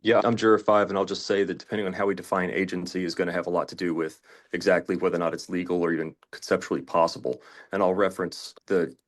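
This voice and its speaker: A man's voice: sits low, level tone, fast, few pauses. A man arguing his points as a juror in a discussion, in an emphatic, insistent, legalistic manner. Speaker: juror in a mock trial debate. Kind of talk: argumentative discussion.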